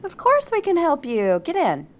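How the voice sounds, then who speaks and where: A woman's voice: very high-pitched, huge pitch swings, normal speed, heavily acted. An actress playing a sweetly motherly pregnant wife, coaxing and full of endearments, in a naturalistic audio drama. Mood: sweet, welcoming. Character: sweetly motherly pregnant wife, solicitous toward a teenage girl.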